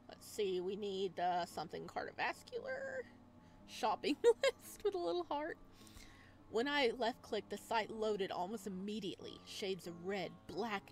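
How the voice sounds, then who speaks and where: Chatty woman narrating over background music. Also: mid-range voice. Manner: chatty, reads aloud with asides. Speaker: gaming video host. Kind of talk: visual novel let's play, reading text aloud with casual commentary.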